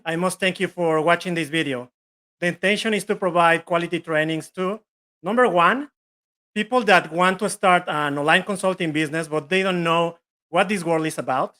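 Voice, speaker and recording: Bright-voiced man with a Mexican accent. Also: high-pitched. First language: Spanish. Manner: earnest, self-correcting, list-like delivery. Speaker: business trainer. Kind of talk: live online training.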